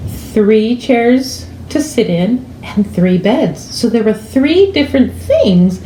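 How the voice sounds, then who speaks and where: An echoey home room with faint noise surrounds a woman in her fifties teaching children. Her pitch swings in a lively way while she explains deliberately, with pauses. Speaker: elementary school teacher. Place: kitchen or dining room at home. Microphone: camera a few feet away. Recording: roomy, echoing, faint background noise.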